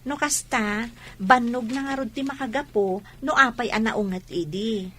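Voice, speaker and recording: A woman's voice: fairly high pitch, normal speed, bright, forceful tone, slightly rough. A woman with an Ilocano Filipino accent playing a friendly, caring young woman in an audio drama. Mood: teasing, caring. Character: friendly, caring young woman named Sally, respectful and teasing. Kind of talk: amateur audio drama acting.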